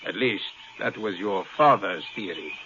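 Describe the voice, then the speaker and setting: A noisy, muffled old radio recording carries a cold space explorer with a forceful, bright voice. He is clipped and commanding, proud and secretive, in the manner of 1950s radio drama. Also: fairly low pitch, animated, unhurried, full character voice. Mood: guarded, evasive.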